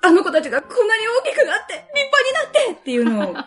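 A woman's voice: very high voice, wildly swooping pitch, rapid-fire, loud music behind the voice. A woman who does voice acting, chatting casually on a radio talk show. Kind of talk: casual chat.

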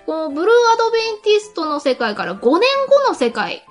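A woman's voice: high voice, very animated, fairly quick, faint music behind. A woman hosting a radio-style chat show, presenting segments and often laughing as she speaks. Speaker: radio show host and voice actress.

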